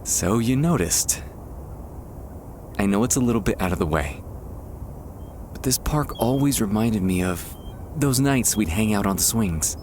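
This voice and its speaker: A man playing a nervous, tender young man, a childhood best friend, in an intimate ASMR roleplay, speaking haltingly with pauses over soft outdoor ambience. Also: fairly low pitch, lively intonation. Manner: hesitant, halting, trailing pauses. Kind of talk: ASMR boyfriend roleplay, intimate acted monologue. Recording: faint background ambience noise. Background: soft outdoor park ambience.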